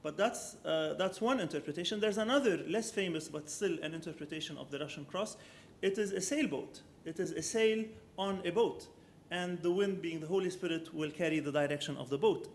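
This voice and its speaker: A man, a professor of architecture, giving an academic lecture with slides, speaking in a measured way that thinks aloud and often corrects himself. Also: fairly high pitch, slight background noise.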